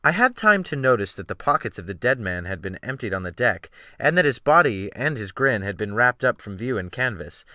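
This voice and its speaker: A man narrates literary fiction with a theatrical flair. His voice is bright and forceful, recorded very dry and close, and his delivery moves expressively through each phrase. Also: lowish voice, no room sound. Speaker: audiobook narrator.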